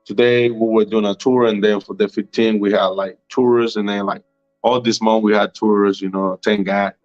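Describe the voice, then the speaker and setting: A young Colombian man in his twenties talks in English with a Colombian Spanish accent, his voice sitting fairly low. He rambles in a loose, easygoing way, and the audio is muffled and lo-fi with faint music underneath. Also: normal speed, no echo. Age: 20s. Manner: relaxed, rambling, full of "like" and "you know". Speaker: tour guide, guest. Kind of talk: casual chat.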